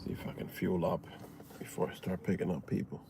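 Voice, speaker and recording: A man's voice: sits low, fairly even intonation, brisk, vocal fry. A man from Miami hosting a casual livestream from his car, chatting loosely with viewers and greeting them by name. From Miami, Florida. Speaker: livestream host. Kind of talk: casual live chat with viewers. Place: inside a car. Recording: loud car and traffic noise.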